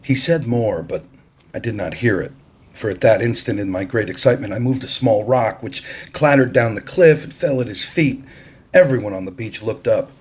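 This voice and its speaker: A man narrating an audiobook in a deliberate, expressive storytelling style. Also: on the low side, slightly rough.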